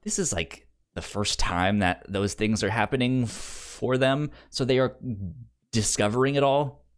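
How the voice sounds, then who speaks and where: A man co-hosting a podcast, casually discussing film in a halting way, often repeating syllables and words as he starts. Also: medium pitch. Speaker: podcast co-host.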